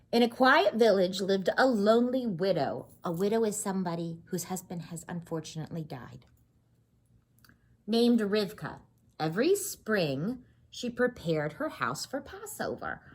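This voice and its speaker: A woman with a husky voice reading a children's story aloud expressively, pausing to explain and ask questions. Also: mid-range voice. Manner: expressive, explains and asks questions to young listeners. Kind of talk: animated read-aloud of a children's story with asides.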